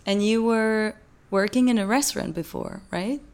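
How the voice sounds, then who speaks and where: A woman interviewing two company founders, asking long questions in a gentle, hesitant way. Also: medium pitch, moderate pace, creaky.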